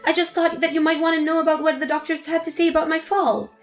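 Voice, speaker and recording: A woman's voice: high voice, steady tone, fast talker, faint music behind, lightly acted. A woman voicing a hurt, indignant pregnant young wife in a scripted text-story drama. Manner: indignant, pleading.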